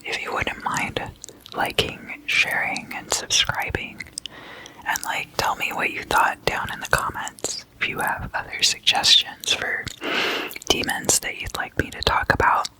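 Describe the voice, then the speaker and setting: Slow, breathy ASMR whisperer. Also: rough recording. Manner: slow, hushed, casual and conversational. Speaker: host of an ASMR roleplay series. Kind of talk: whispered ASMR, chatty sleepover roleplay.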